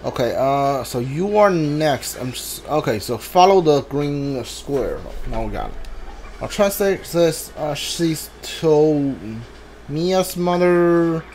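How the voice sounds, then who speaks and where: A man giving casual video game commentary, thinking aloud haltingly and reading out on-screen text as he plays. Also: fairly high pitch, animated, darkish tone, a little hiss or hum. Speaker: video game streamer doing a playthrough. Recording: game music behind the voice. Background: video game music.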